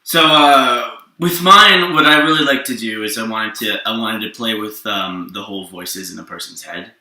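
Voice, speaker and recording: A man's voice: fairly low pitch, moderate pace, bright, forceful tone. A man co-hosting a comics show in casual, unscripted chat, explaining things in a rambling, informal way. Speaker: co-host of a comics story show. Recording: roomy echo, distant from the mic.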